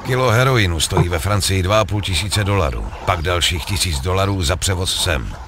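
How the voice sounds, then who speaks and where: A cool, ruthless video game gangster, with a very low voice that swings expressively. He pitches schemes in coarse, persuasive slang over faint background ambience. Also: expressive, background noise. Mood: calm, scheming. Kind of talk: acted video game dialogue.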